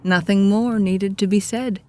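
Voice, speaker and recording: A woman's voice: medium pitch, steady tone, unhurried, dark tone, dry recording. A woman narrating a scripted audio drama in a storybook style. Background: music with guitar.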